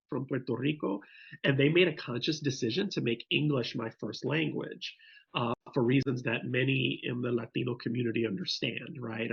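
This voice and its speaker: Gravelly husky man, thoughtful guest. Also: medium pitch, lo-fi sound. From Bronx, New York. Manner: thoughtful, with frequent restarts and fillers. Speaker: podcast guest. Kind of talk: reflective interview answers.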